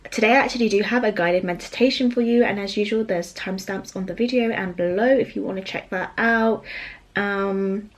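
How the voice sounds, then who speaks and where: Soft-voiced young woman reading cards. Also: mid-range voice. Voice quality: soft soothing voice. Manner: chatty and rambling with fillers, later slow and hushed. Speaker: tarot card reader. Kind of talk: casual pick-a-card reading, then guided meditation. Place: small home room with bookshelves, indoors.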